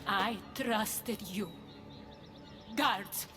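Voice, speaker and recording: A woman's voice: medium pitch, very slow, music in the background. A woman playing an imperious, proud goddess queen in a video game, haughty and commanding with a cold, wounded edge. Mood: betrayed anger. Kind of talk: dramatic video game cutscene acting.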